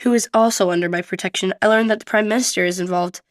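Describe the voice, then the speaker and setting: A woman's voice: medium pitch, fairly even intonation, quick pace, no room sound, studio quality, pitched below the performer's own voice, natural voice. A woman voicing a stern male detective in a radio play, speaking in an authoritative, businesslike way. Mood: firm.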